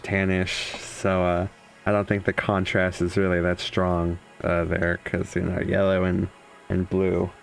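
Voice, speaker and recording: A young man giving joking, irreverent video game commentary in a sarcastic, sweary way. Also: low voice.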